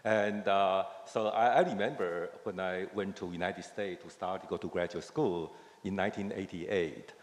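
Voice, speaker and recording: A man, answering as a professor on a panel in an earnest, measured way. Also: low-pitched, fairly even intonation. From Taiwan. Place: large hall on stage. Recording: echoey hall with long reverb.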